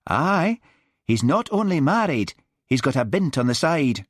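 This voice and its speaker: A man's voice: on the high side, big pitch swings, measured pace, dry recording, pitched above the performer's own voice. A man voicing a gossipy, blunt hotel manager with a Scottish accent.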